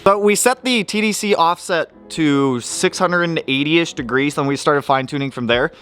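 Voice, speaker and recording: A man's voice: a little high, normal speed. A man casually explaining car repair steps as he works, upbeat and plain-spoken, in a garage. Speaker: car modding vlogger. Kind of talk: casual hands-on narration. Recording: clear background music over voice.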